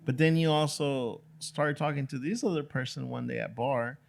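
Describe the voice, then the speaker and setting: A man's voice: medium pitch, vocal fry, background noise. A man whose first language is Spanish, hosting a podcast in a laid-back, casual way. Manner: laid-back, drawn-out "dude" and "you know" fillers. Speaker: podcast host. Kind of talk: casual chat.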